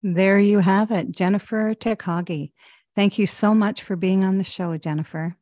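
A woman hosting an interview podcast, halting and filler-heavy when she asks questions. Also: mid-range voice, fairly even intonation, a little mellow, dry recording, studio quality. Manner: hesitant, many fillers and restarts. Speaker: podcast host. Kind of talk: interview and show outro.

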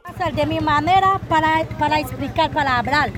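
Young woman, halting, high voice. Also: music in the background. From Haiti. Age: young adult. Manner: halting, searching for words, pleading questions. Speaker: migrant giving testimony. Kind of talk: recorded personal testimony. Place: outdoors in a town near a border.

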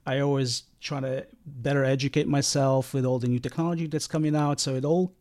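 Israeli-raised man, halting and reflective. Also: mid-range voice, flat delivery, darkish tone. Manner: halting, many fillers and restarts. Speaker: sound engineer guest. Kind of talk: casual interview.

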